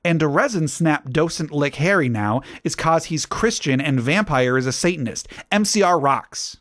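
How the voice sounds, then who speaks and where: A man's voice: fairly high pitch, expressive, moderate pace, fairly bright tone, no echo, studio quality, pitched above the performer's own voice. A man voicing an indignant teenage girl author snapping defensively at critics in a whiny way.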